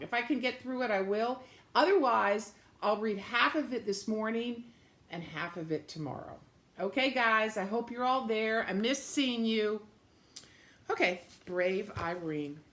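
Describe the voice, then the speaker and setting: A woman reading a picture book aloud to young children, with gentle, simple explanatory asides. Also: a little high.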